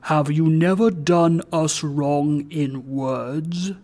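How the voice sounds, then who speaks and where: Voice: steady tone, extremely slow, darkish tone. A narrator voicing a stern, ancient goblin spirit of a great bell in a storybook, speaking in grave, accusing oratory. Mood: stern questioning. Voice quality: low, deep.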